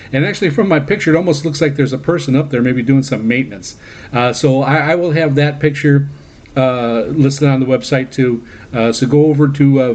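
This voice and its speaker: A man hosting a hobby radio program in a casual monologue, speaking haltingly with many uhs and repeated words. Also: medium pitch, a little mellow. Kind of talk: casual radio monologue recounting personal trips. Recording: dull, lo-fi band-limited audio.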